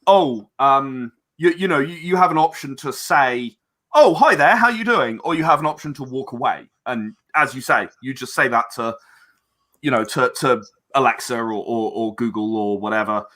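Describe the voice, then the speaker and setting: Bright-voiced man, halting and earnest. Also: medium pitch, animated. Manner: hesitant, halting, repeats words; earnest about creative work. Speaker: interview guest, game and audio drama creator. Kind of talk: casual interview chat.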